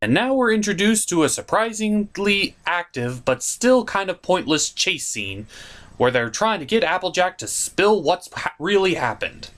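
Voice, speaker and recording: Young guy doing jokey cartoon reviews, kinda high voice, super animated. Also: moderate pace. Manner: theatrical, jokey, opinionated. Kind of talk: comedic review with voice gags.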